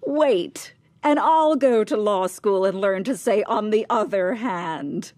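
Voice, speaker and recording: A woman's voice: medium pitch, takes it slowly, darkish tone, no echo, lightly acted. An actress playing a bitter, imperious, bigoted upper-class mother in a radio drama, clipped, cutting and sarcastic, with insistent questioning. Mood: impatient, sarcastic. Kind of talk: naturalistic radio drama acting.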